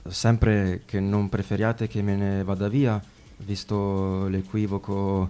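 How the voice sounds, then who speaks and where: A man's voice: on the low side, level tone, takes it slowly, mellow, slight background noise. A man acting a polite, affable dinner guest in a naturalistic radio play.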